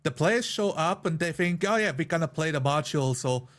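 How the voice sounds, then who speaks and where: A man's voice: on the high side, animated, brisk, fairly bright tone. A man in a casual discussion of roleplaying, explaining his views as a player in an earnest way. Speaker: tabletop roleplayer.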